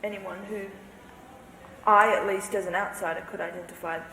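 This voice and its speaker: A woman's voice: mid-range voice, fairly even intonation, moderate pace, creaky, pushed and bright, a little hiss or hum. A young woman in her twenties speaking as a panel guest, rambling with plenty of fillers and hedges. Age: 20s. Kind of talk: panel discussion answers. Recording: roomy hall with faint ambience.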